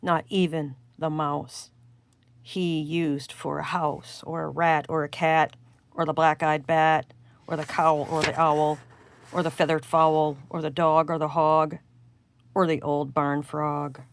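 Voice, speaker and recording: Slow-paced woman reading a rhyming storybook. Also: deep voice, flat delivery. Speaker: storybook reader. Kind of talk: scripted read-aloud of a rhyming children's storybook.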